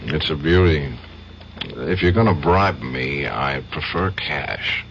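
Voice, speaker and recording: A dull, faintly noisy old radio recording carries an idealistic young American lawyer. His delivery swings widely in pitch, bitter and sarcastic, quick to argue in classic radio drama fashion. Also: lively intonation, gravelly, a bit bright, exaggerated voice. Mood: weary, sardonic. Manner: sarcastic, argumentative.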